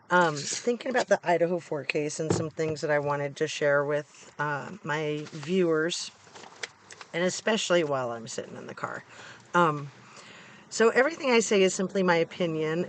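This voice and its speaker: A middle-aged American man with a hoarse, congested voice, giving casual, opinionated commentary to viewers in an informal, emphatic way. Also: a little high, slight background noise. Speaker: true-crime vlogger. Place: inside a parked car.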